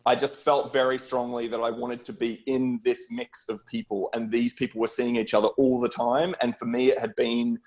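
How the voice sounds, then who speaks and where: A man's voice: medium pitch, level tone, normal speed, few pauses, darkish tone, rough recording. A middle-aged man with an Australian accent, speaking as a guest film producer in a conversational storytelling style on a video call.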